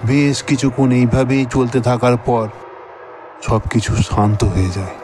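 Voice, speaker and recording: A man narrating a scripted horror story in the first person, in a measured, dramatic manner. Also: mid-range voice, background noise.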